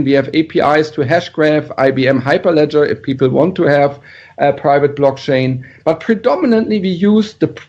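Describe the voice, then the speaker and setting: A man with a German accent, talking a bit high in his range as he explains his company step by step with plenty of ums and restarts. The sound is dull and lo-fi, like a remote call line. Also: a little mellow. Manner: earnest, explanatory, with fillers and restarts. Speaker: startup founder guest. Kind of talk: podcast interview, explaining technology. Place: San Mateo, California.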